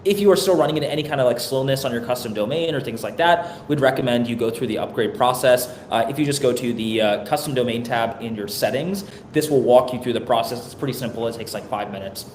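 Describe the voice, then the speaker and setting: A man's voice: fast talker, few pauses. A man hosting a live product town hall in a loose, chatty way, getting over a cold. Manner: loose and chatty, many fillers and restarts. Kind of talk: casual webinar presentation. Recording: echoey room with faint rumble.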